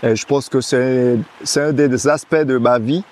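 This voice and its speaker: Male guest, casual outdoor interview, laid-back, halting delivery with many fillers. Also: mid-range voice. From French Guiana. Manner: hesitant, many restarts and fillers, relaxed.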